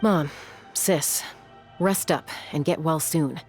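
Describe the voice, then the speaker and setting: Cool young warrior woman, low voice, blunt, anime game with music behind. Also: slow, darkish tone. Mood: determined. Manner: blunt, determined. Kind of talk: anime game dub acting. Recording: music under the voice.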